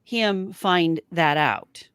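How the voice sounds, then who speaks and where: A woman co-hosting a casual fan discussion, thinking aloud in a halting way. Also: lowish voice, fairly bright tone, smooth, no room sound, clean studio sound. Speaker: podcast co-host.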